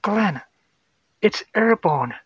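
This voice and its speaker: A man's voice: high-pitched, animated, extremely slow, husky, no room sound, highs cut off, pitched above the performer's own voice, heavily acted. A man voicing an anxious woman science officer, urgent and explanatory, in a sci-fi horror audio story. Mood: urgent, explaining.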